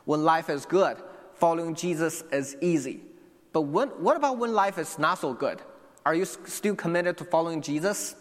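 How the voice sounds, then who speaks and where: A man's voice: fairly high pitch, normal speed, big-room sound. A young man from China, preaching a sermon earnestly and speaking directly to his congregation. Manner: earnest, with restarts and asides to the congregation.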